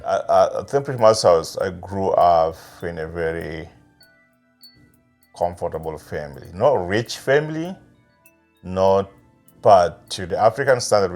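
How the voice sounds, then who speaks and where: A middle-aged man from South Sudan, speaking in a measured interview manner with pauses and fillers. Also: low-pitched, a little mellow. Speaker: interviewee, community advocate. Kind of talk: reflective interview talk.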